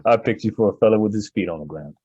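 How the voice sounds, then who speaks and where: An actor playing a breezy, callous young heir with a jaunty, superior manner in an old-time radio drama, in slightly muffled sound. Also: male voice, on the low side, fast, dark tone, breathy edge. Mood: breezy, amused.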